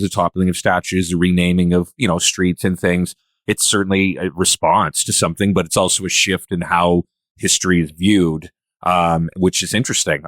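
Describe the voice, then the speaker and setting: Male podcast host, very low register, casual and circling phrasing, dry recording. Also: dry recording. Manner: rambling, repeats and rephrases himself.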